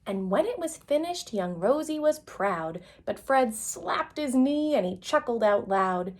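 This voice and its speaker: Female storyteller, children's read-aloud, very unhurried, fairly high pitch, expressive, dramatic emphasis. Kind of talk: expressive children's picture book reading.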